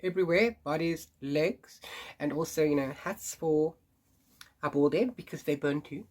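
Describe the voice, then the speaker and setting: A man's voice: fairly high pitch, normal speed, darkish tone. A man who hosts a book channel, chatting casually to camera in a rambling way, full of restarts and little laughs, while congested and sniffly from hay fever. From South Africa. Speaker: book vlogger.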